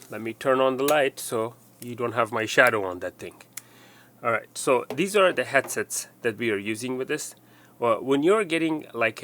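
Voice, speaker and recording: A man's voice: mid-range voice, fairly bright tone, a little hiss or hum. A man presenting an unscripted technical tutorial, explaining step by step in a somewhat hesitant way.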